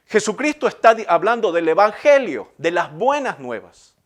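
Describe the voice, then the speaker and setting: High-voiced Ecuadorian man preaching. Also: sing-song, moderate pace. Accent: Ecuadorian Spanish, Guayaquil. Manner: emphatic, repetitive preaching with rhetorical questions. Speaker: pastor. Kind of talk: sermon.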